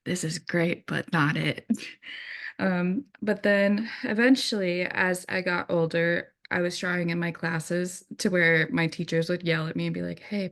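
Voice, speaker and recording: A young woman from Wisconsin, an illustrator guest talking casually, with many "likes" and ums, acting out little quotes. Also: on the low side. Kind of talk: casual interview chat.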